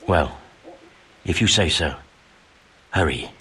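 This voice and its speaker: An actor playing a grave, fearful medieval man in a video game, with a very rough, husky voice. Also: low-pitched, lively intonation, slow pace, bright, forceful tone, heavily acted. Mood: grim. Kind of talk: video game dialogue acting. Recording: music clearly behind the voice.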